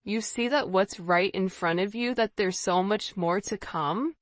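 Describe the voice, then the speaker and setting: A woman's voice: mid-range voice, unhurried, dry recording. Woman tarot reader, dry muffled recording. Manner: conversational, often checking in with "right?" and "you know". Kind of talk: informal spiritual tarot reading, speaking directly to the viewer.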